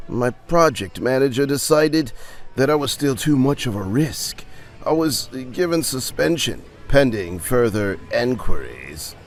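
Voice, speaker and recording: A dejected male research scientist acted by a man confesses his failings to a friend in an audio drama. His speech is halting and emphatic, full of bitter self-reproach, and his pitch moves widely. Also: vocal fry. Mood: bitter, frustrated.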